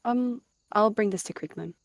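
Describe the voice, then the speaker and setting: A woman's voice: medium pitch, normal speed, dry recording, clean studio sound, lightly acted. A woman voicing a friendly, chatty young female clan cat in a roleplay, earnest and a little hesitant. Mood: nervous. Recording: music behind the voice.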